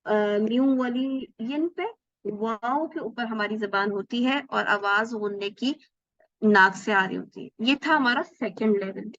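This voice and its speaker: A woman with a somewhat high voice teaches an online class, patiently walking through each rule and pausing to ask rhetorical questions. The sound is dull and dry, as over a video call. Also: a bit bright, no room sound. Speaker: Quran recitation teacher. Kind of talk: online class lesson explaining tajweed rules.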